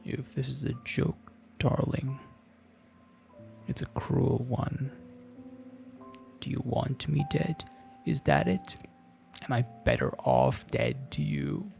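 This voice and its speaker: Anguished sick husband, played by a man, slow and breathy, pleading, gravelly creak, music underneath. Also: mid-range voice, wildly swooping pitch, a little mellow, heavily acted. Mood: hurt, bitter. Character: ailing, bewildered husband, anguished and lovesick. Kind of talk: visual novel voice acting.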